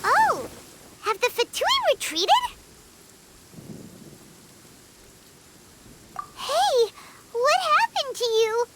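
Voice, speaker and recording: A woman voicing a tiny, excitable, cheeky fairy companion with a childlike, squeaky voice in a video game. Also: slow, full character voice. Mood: worried, surprised. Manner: speaks of herself in third person, exclamatory. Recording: game sound effects behind, clear noise.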